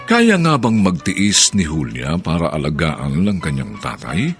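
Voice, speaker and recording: A man's voice: extremely low pitch, wildly swooping pitch, unhurried. A man with a Filipino accent giving dramatic scripted narration as a radio drama announcer. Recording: music bed under voice. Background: music.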